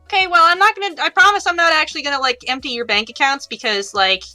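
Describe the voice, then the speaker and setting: A woman voicing a squeaky, sassy, money-minded young female hacker and streamer in an improvised tabletop game. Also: fast, pushed and bright, faint music behind. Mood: breezy, sassy. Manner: sassy, calls people hun and honey.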